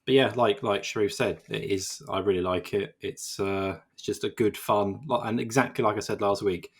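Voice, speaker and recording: A man's voice: fairly low pitch, fairly even intonation, normal speed, husky. A man co-hosting a casual games podcast, rambling and self-deprecating with lots of fillers. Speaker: games journalist co-host.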